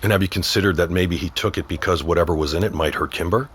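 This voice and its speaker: Low-voiced small-town sheriff dad. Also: male voice, quick pace, breathy edge. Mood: grave, gentle. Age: middle-aged. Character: small-town sheriff dad, teasing yet protective and steady. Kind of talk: naturalistic audio drama acting.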